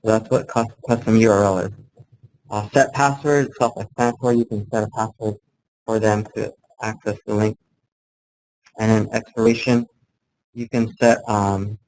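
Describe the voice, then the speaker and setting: A man giving an informal instructional talk as a software trainer, explaining plainly in a halting way and checking in as he goes. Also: fairly low pitch, low-quality recording. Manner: halting, plain-spoken explaining, often checking in with "okay" and "right". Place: indoor room, live talk to colleagues. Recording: clearly audible background room noise.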